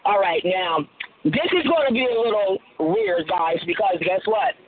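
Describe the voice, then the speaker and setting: A man's voice: high voice, lively intonation, moderate pace. A man from Colombia reporting live from a city street, speaking in a casual, rambling way with many fillers. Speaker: livestream street interviewer. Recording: tinny phone-like livestream audio.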